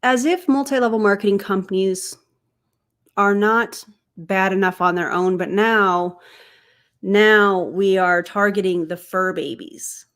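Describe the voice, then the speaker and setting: A woman's voice: medium pitch, measured pace, fairly bright tone. Woman, young adult, stuffy nose, casual stream host, chatty, talks to her chat. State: congested, getting over being sick. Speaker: streamer and commentator.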